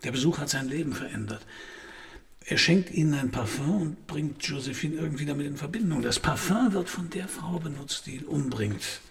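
An actor playing a cool, laconic Paris private detective in a German radio crime drama. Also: male voice, a little high, animated, moderate pace, creaky, slightly rough, exaggerated voice. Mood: calm, assured. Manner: laconic, dry. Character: cool, hard-boiled male Paris private detective narrating his case. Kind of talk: German radio crime drama, first-person narration and dialogue.

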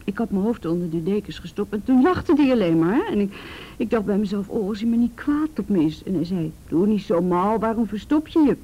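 Scared teen girl in a radio drama, husky voice, stammers and repeats herself, kinda muffled recording. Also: mid-range voice, expressive, dark tone. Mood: frightened, agitated. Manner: halting, stammering, repeats words. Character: frightened teenage girl recounting abuse by her father. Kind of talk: Dutch radio play acting.

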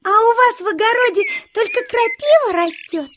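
A woman playing a naive, whiny little hare boy in a children's audio play, with drawn-out, wide-eyed childlike delivery. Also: very high-pitched, expressive, a bit bright, slight background noise. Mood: eager curiosity.

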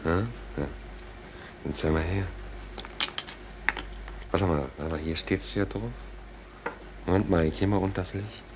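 Wry sailor shipmate, deep voice, terse n sardonic, old noisy radio play. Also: male voice, steady tone, a little slow, long pauses. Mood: curious. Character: laconic, wry merchant seaman, the shipmate. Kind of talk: 1940s German radio play acting. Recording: noisy 1940s radio drama recording.

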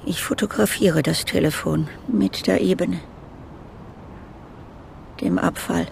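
A woman acting a weary, disoriented driver in a poetic radio monologue, speaking in fragmentary, halting, often hushed phrases. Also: lowish voice, creaky, slight background noise, full character voice. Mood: fragile, dazed.

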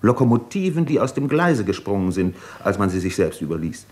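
An actor in a 1950s German radio drama playing a tormented, thoughtful Russian doctor in love, speaking in a measured, articulate way. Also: male voice, lowish voice, sing-song, darkish tone. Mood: grave, intense.

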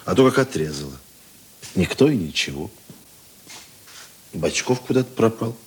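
An actor playing a gruff, reserved Soviet police investigator in a radio play, speaking tersely and musingly. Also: male voice, sits low, very animated, moderate pace, slight background noise. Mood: brooding, weary. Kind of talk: Russian radio play acting.

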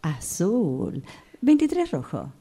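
A woman's voice: on the low side, huge pitch swings, takes it slowly, mellow, slightly rough, full character voice. A woman playing someone who ponders each reply, in a radio drama workshop exercise. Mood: pondering.

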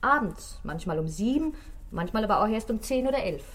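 Eine Schauspielerin spielt in einem deutschen Hörspiel eine geschwätzige, ausweichende Concierge, die zögernd und vertraulich redet. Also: female voice, medium pitch, expressive, a little fast, lightly acted. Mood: evasive, confiding. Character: gossipy, evasive, money-minded Parisian concierge woman. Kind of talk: German radio play acting.

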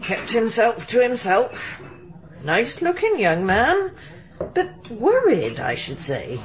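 A gossipy innkeeper. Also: female voice, a little high, slow pace, exaggerated voice. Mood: chatty. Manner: gossipy, homely talk. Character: chatty, gossipy woman innkeeper. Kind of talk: radio drama acting. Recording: archive radio drama with steady hiss.

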